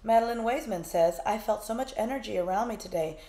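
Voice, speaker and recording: A woman's voice: medium pitch, fast talker, mellow. A woman co-hosting a live psychic podcast, casually reading out viewers' comments and questions. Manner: casual, reads comments aloud. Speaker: psychic medium podcast co-host. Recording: echoey room.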